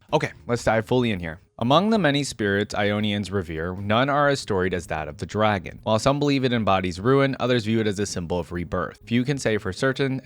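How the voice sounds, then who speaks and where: Guy doing reaction channel commentary, mid pitched voice, informal and into it, sometimes reading stuff out loud. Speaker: host of a character lore reaction channel. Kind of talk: casual commentary with stretches of reading aloud.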